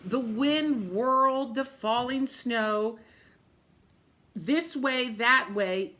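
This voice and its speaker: Woman storybook reader, unhurried, bright, expressive, dramatic phrasing, asides to young listeners. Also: on the high side. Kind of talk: expressive picture-book read-aloud with asides to young listeners.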